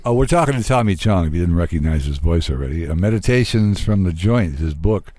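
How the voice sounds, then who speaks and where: A man with a fairly low voice hosts a casual interview. He speaks conversationally, as a radio presenter chatting with a guest. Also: expressive, a little fast, dark tone, no echo, studio quality. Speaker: radio podcast host, interviewer.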